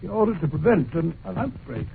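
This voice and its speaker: Mild doctor sidekick, higher voice, tinny old radio. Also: male voice, big pitch swings, a little fast, gravelly. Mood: musing. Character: amiable male doctor, detective's companion. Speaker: radio drama actor. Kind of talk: 1940s radio acting. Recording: tinny old radio recording with loud background noise.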